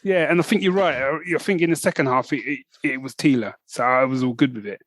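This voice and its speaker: A man's voice: medium pitch, quick pace. A man co-hosting a podcast, chatting casually and acting out scenes with lots of laughter. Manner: animated, laughs often, acts out scenes in quoted voices. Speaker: podcast co-host. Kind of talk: casual chat.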